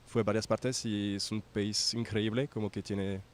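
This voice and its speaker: A young man from France with a French accent, a native French speaker, giving an informal testimonial as a student and haltingly searching for words in a second language. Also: lowish voice, flat delivery. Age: young adult.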